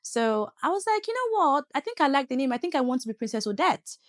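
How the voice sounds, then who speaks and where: A young woman from Nigeria with a British accent and a Nigerian touch, telling her story as a podcast guest with lively, laughing energy. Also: sits high, fast, no echo, clean studio sound. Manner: animated storyteller, laughs often, code-switches. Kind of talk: casual testimony interview. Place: podcast studio.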